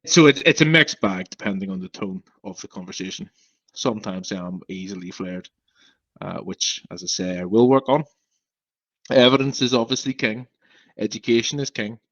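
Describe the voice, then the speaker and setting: A man's voice: sits low, a bit bright, no echo, muffled recording. A young man from Northern Ireland, a podcast guest who stammers, talking bluntly and frankly. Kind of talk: casual interview talk.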